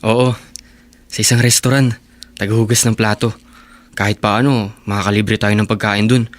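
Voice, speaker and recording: A man's voice: fairly low pitch, flat delivery, normal speed, natural voice. Man acting an earnest young runaway husband. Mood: tender, apologetic. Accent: Filipino. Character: devoted, proud teenage runaway husband, earnest. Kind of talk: Tagalog radio drama acting.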